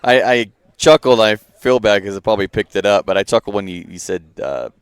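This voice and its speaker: A man's voice: on the low side, a little fast, clear, resonant tone, no room sound. A man hosting an outdoors podcast, chatting casually and laughing easily as he talks. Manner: laid-back, laughs often, restarts words. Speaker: podcast host. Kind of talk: casual chat.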